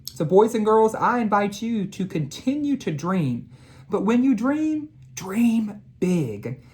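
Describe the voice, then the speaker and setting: A woman educator reading a storybook and talking to children, in a deliberate, emphatic manner. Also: mid-range voice, big pitch swings, darkish tone. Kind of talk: storybook read-aloud and talk to children.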